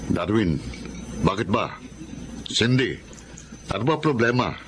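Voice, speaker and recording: A man's voice: on the low side, big pitch swings, slow, background noise. An actor playing a hardworking taxi driver father in a radio drama, plain-spoken and worried about money.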